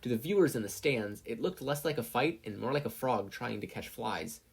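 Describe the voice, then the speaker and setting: A man's voice: mid-range voice, animated, normal speed, gravelly, breathy edge. A man reading a story aloud as scripted narration. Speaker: podfic reader, narrator.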